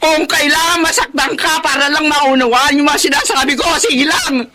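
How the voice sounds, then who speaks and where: A woman's voice: sits high, normal speed, noisy background, natural voice. An actress playing a firm, devoted middle-aged wife and mother with a Filipino accent in a radio drama. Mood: angry, defiant.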